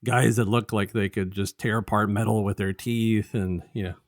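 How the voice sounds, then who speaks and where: Low-voiced man in rambling, dry-recorded chat. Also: fairly even intonation, no echo. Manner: halting and rambling, often restarting sentences. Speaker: podcast co-host. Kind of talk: casual review chat.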